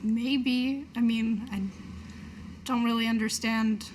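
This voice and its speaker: A woman improvising in character in a tabletop roleplaying game, hesitant and deadpan. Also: fairly high pitch, slow pace. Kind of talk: casual in-character improvised chat. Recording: noticeable background noise.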